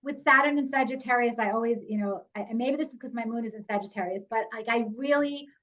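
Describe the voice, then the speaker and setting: A woman's voice: a little high, fast talker, fairly bright tone. A woman hosting an astrology podcast in casual conversation, speaking animatedly and addressing her listeners directly. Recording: muffled lo-fi audio.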